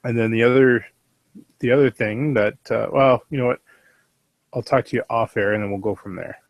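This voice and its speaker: A man's voice: mid-range voice, fairly even intonation, moderate pace, dry recording, rough recording. A man chatting casually as a panelist on a podcast. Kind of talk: casual chat.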